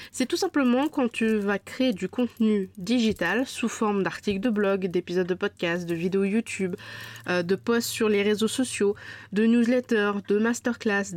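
A woman's voice: medium pitch, darkish tone, no room sound. A woman hosting her own podcast while stuffed up with a cold, talking casually and directly to the listener with frequent fillers. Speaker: podcast host. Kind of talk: solo podcast talk.

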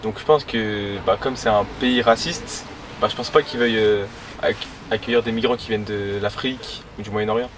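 A man's voice: fairly low pitch, steady tone, normal speed. A young man, born in Portugal and raised in France, giving casual, slightly hesitant opinions in slangy speech. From born in Portugal, living in France since childhood. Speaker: passer-by guest. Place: live street show in a van. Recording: faint noisy street ambience.

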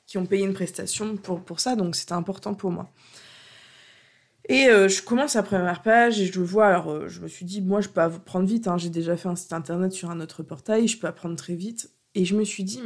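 A French-speaking woman hosting a coaching podcast while she has a cold, talking unscripted in an earnest, hesitant way. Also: lowish voice. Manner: hesitant, many fillers and restarts, earnest. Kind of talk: unscripted solo podcast talk.

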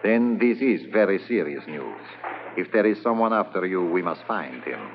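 An actor playing a formal, wary foreign smuggler in a 1950s radio drama. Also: male voice, low voice, lively intonation, normal speed. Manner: formal, courteous, foreign-accented. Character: smooth foreign smuggler man, suave and wary. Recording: dull old radio transcription, hiss.

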